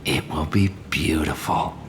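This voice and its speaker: A tormented survivor in a video game, obsessed and deluded. His voice is very deep, with brooding intensity. Clear ambient noise surrounds it. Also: unhurried, fairly bright tone. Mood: haunted, obsessed. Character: haunted, obsessed male survivor of cruel experiments. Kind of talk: dramatic video game acting.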